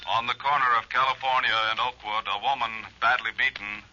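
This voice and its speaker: An actor playing an official police dispatcher repeating bulletins flatly over a radio in an old drama. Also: male voice, mid-range voice, brisk. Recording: noisy, dull vintage radio recording.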